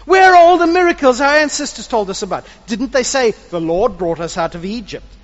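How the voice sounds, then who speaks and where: A man's voice: very high-pitched, expressive, fairly quick, voice pushed up. A man voicing a fearful, doubting young male farmer who pleads anxiously, retold in a sermon. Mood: pleading. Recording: dull lo-fi with long hall reverb.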